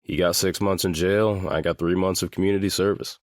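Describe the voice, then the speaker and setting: Man playing a very deep, guarded teenage boy. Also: steady tone, dry recording, clean studio sound, natural voice. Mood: frank, determined. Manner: deliberate, matter-of-fact, swears now and then. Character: guarded seventeen-year-old boy recounting his hard past. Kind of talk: full-cast audiobook character acting.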